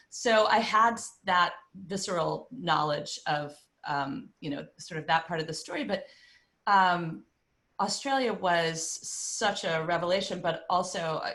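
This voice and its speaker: Woman from England, low and fluent. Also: fairly bright tone. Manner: fluent, expansive storyteller. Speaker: author guest. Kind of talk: panel conversation.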